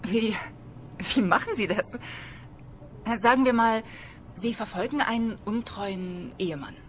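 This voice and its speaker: A woman plays a nosy young student in a crime radio play, mid-pitched and quick to tease. Her manner is blunt and inquisitive. There is clear noise in the background. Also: animated, lightly acted. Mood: flustered, curious. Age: young adult. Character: curious, cheeky young female journalism student.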